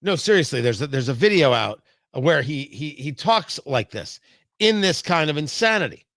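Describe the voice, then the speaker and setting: American man, political talk radio host, bright forceful voice, close dry sound, emphatic, theatrical delivery. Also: on the high side, big pitch swings, moderate pace, no room sound. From New York and New Jersey, United States. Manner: emphatic, theatrical, repeats phrases for effect. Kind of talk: opinionated political commentary monologue.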